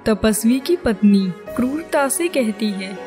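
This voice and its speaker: A woman's voice: on the high side, animated, measured pace, warm tone. A woman reading scripted narration for a moral cartoon story. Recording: music behind the voice.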